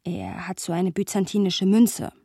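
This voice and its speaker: Wry young female narrator for radio drama, played by an actress. Husky, intimate, playful, dry studio sound. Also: mid-range voice, fairly even intonation, moderate pace, dark tone, dry recording, lightly acted. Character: wry, playful young woman narrating memories of her friend. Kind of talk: radio play narration and dialogue.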